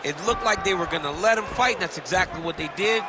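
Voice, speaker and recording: A man's voice: sits high, fast, close to an everyday voice. An American man giving colour commentary on a fight in a video game, explaining things in blunt, colloquial language. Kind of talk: conversational sports fight analysis in a video game. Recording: very noisy arena background.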